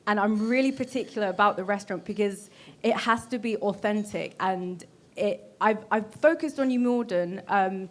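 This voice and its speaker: A woman with a British accent, speaking as a panelist in an earnest, explanatory and reflective way. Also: medium pitch. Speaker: panelist, Korean cultural ambassador.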